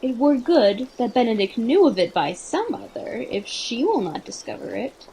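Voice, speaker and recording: A woman's voice: fairly high pitch, big pitch swings, moderate pace, mellow, a little hiss or hum, poor audio. A woman acting a playful, scheming prince in an amateur Shakespearean radio play. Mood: feigned concern. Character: courtly male prince, playful, scheming leader.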